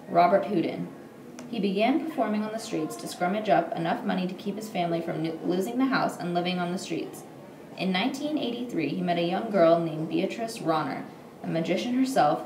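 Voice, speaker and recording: A young woman reads a scripted history report aloud as a student presenter, occasionally stumbling over her words. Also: on the low side. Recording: roomy echo, clear background noise.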